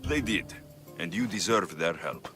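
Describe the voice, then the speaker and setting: Ancient Greek sellsword, fairly deep, wry, confident, blunt, video game hero, music behind. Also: male voice, expressive, a little slow. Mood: reassuring, confident. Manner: blunt, dry, self-assured. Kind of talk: video game cutscene acting.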